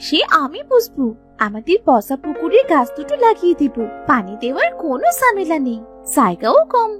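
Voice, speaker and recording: A woman plays a headstrong young village girl in a cartoon fairy tale. Her voice is extremely high and swings widely in pitch, with music underneath. She sounds childlike, pleading and insistent about what she wants. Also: big pitch swings, normal speed. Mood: cheeky, insistent. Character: stubborn, food-loving younger village sister, a girl. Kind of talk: animated moral story voice acting.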